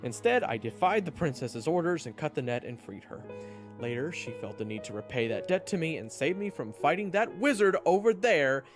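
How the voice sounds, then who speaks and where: A man's voice: a little high, very animated, normal speed, reverberant, long reverb tail, music in the background. A man playing a nervous, sardonic young adventurer who narrates his own fantasy story with dramatic, wry delivery. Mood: nervous, pleading. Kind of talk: first-person fantasy story narration and acting.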